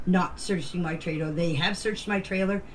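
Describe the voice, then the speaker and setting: A woman's voice: lowish voice, steady tone, moderate pace. A woman recounting events informally, in a blunt, defensive and emphatic manner. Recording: faintly noisy voice-message recording.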